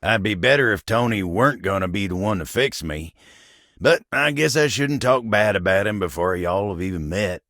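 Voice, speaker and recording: An actor voicing a gentle, folksy living table in a video game, with a gravelly American Southern drawl and a humble, earnest manner. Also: male voice, fairly low pitch, normal speed, no echo, studio quality. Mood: reluctant, embarrassed. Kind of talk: video game character voice acting.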